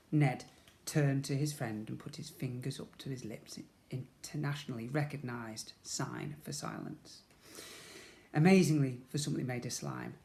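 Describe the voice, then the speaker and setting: A man's voice: medium pitch, darkish tone. A man reading a storybook aloud expressively to young children, as a schoolteacher would.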